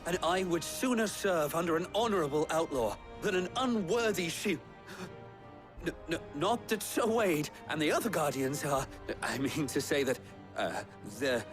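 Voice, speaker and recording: Earnest young squire, lightly high-voiced man acting. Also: moderate pace, over music, close to an everyday voice. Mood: flustered. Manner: formal, courteous, nervously deferential. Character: earnest, eager young noble would-be squire, boy. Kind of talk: video game drama acting.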